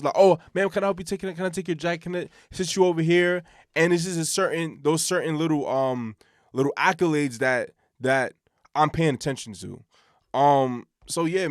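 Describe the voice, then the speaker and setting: A man with a deep voice that still sits a little higher than most, co-hosting a casual podcast. He talks in a relaxed way, often repeating words as he works toward a point. The sound is dry and close to the mic. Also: no room sound. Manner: relaxed, repeats words while searching for thoughts. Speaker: podcast co-host. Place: hot room in a building.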